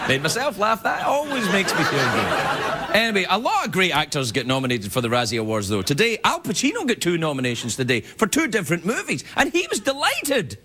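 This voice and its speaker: A man's voice: high-pitched, wildly swooping pitch, brisk. A middle-aged Scottish man hosting a late-night show, delivering a wry, self-mocking comedy monologue to a studio audience. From Scotland. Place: television studio with live audience. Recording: loud audience noise behind.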